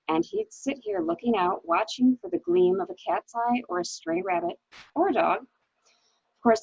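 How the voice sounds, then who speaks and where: A woman's voice: a little high, vocal fry, husky, no room sound, heavily acted. A woman acting a resigned, bitter wife suspected of murder, with genteel, theatrical phrasing, in a dramatic reading of a mystery. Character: resigned, bitter wife caught beside her murdered husband. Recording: dull, lo-fi audio.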